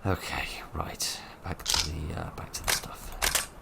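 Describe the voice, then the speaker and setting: A man commentating his horror game playthrough in a chatty, wry way, with a voice that is getting hoarse. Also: a bass voice, steady tone, slow pace, very noisy. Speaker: gaming commentator. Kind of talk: let's play commentary.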